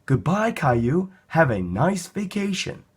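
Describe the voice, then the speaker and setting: A man's voice: fairly high pitch, big pitch swings, unhurried, husky, pitched above the performer's own voice, heavily acted. A man voicing a polite, cheerful flight attendant in a children's storybook, with courteous service patter.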